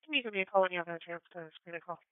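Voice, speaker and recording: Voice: medium pitch, racing, clear, resonant tone, no echo. An American police dispatcher relaying procedural traffic over a two-way radio in a clipped, businesslike way. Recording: thin phone-like police scanner radio.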